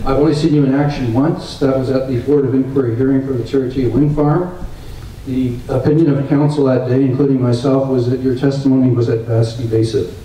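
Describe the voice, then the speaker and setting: A man's voice: medium pitch, steady tone, a little fast, mellow, a little hiss or hum. A man with a Canadian accent, an audience member at a public forum, asking a formal and pointed question. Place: community centre hall. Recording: echoey hall, distant mic.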